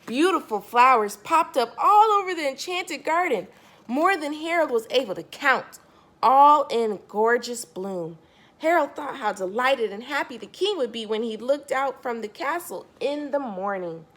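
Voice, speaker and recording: A woman's voice: high voice, expressive, measured pace, fairly bright tone. A woman reading a picture book aloud to young children, expressively, stressing key words and speaking directly to her listeners.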